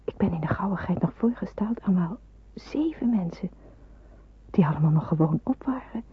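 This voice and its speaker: A woman's voice: lowish voice, lively intonation, moderate pace, a little hiss or hum, close to an everyday voice. An actress in a Dutch radio drama playing an anxious young woman in hiding, musing and questioning hesitantly. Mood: pensive, uneasy. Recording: narrow phone-like old radio recording.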